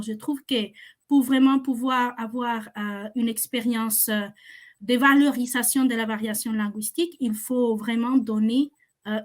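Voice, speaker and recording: Higher-voiced non-native French-speaking woman. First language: not French. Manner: hesitant, searching for words. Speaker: teacher and webinar panelist. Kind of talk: unscripted panel discussion.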